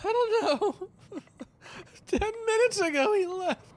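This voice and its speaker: A woman's voice: extremely high pitch, lively intonation, slow, darkish tone, full character voice. A woman playing a panicky, anxious sailor man in an audio drama, frantic and whiny. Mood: exasperated.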